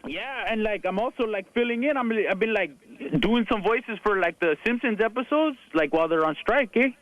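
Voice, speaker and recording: A man's voice: sits high, animated, a little fast, muffled recording. A man playing a boastful young gangster caller on the phone, with a Spanish-influenced Los Angeles accent and drawling slang. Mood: swaggering. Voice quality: drawling slang-heavy street talk, tag 'eh'. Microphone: phone line.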